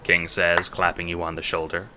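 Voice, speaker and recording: A man narrating a second-person audio drama in a dramatic, deliberate way. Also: low voice, completely flat delivery, background noise. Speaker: narrator and producer.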